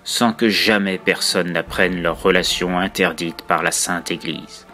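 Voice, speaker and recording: A man reciting a horror poem as a morbid, tormented young narrator, in a solemn, measured, literary way. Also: sits low, fairly even intonation, pushed and bright, voice pushed down. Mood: grave, secretive. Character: morbid, tormented child narrator with murderous urges. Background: music.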